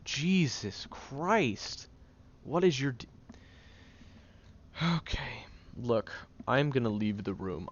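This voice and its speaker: A man's voice: on the low side, lo-fi sound, slight background noise. A man playing a prickly, defensive young man who is sarcastic but secretly caring, in an audio roleplay spoken to the listener. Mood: irritated, defensive. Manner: gruff, sarcastic, flustered tsundere bickering.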